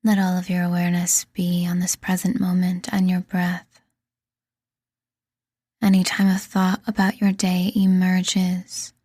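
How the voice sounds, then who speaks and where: A woman reading a scripted guided meditation in a soothing, deliberate manner, leaving pauses between phrases. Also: medium pitch, darkish tone, breathy edge, dry recording, clean studio sound. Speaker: meditation guide and podcast host.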